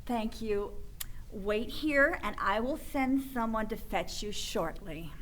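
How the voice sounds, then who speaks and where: A woman's voice: a little high, expressive, slow pace, a bit bright, a little hiss or hum. A woman playing a cold, commanding scientist in a radio drama, curt, controlled and authoritative. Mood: curt, businesslike. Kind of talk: superhero comedy radio drama acting.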